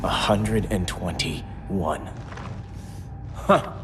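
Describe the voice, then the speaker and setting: An actor playing a defiant young assassin-trained vigilante in a video game, sneering and hot-headed. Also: male voice, low voice, very slow. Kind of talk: video game cutscene acting. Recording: loud music behind.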